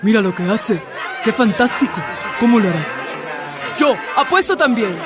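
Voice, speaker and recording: An excitable, gullible bystander in a radio drama. His voice is pitched very high and exclaims amid a busy crowd. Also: moderate pace. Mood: amazed. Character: excitable, gullible male onlooker at street magic bets.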